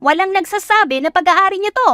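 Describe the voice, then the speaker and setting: A woman acting a feisty, indignant young farm woman who speaks forcefully in an audio drama. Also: extremely high pitch, a little fast, dry recording, soft background music, voice pushed up. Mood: angry, defiant. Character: feisty, defiant 25-year-old village farm woman. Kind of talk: Tagalog audio drama dialogue.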